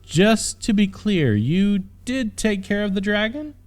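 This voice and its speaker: Man putting on an exasperated, high-pitched princess. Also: animated, measured pace, soft background music. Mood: disbelieving. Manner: incredulous, scolding, shrill when alarmed. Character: exasperated, sharp-tongued young princess in distress. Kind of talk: comic fantasy audiobook character voice.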